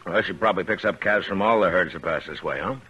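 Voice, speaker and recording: An actor playing a calm, tough, fair-minded American frontier marshal in 1950s western radio drama, speaking in a measured, plain way with thoughtful pauses. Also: male voice, low voice, breathy edge, highs cut off, full character voice. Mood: easygoing. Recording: noisy vintage 1950s radio recording.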